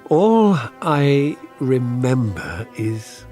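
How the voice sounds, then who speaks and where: A middle-aged British husband in a radio drama, anguished and bewildered. He speaks slowly with wide swings of pitch, pleading and wounded, over faint music. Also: sing-song, dark tone. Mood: wistful, brooding. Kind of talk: BBC radio drama acting.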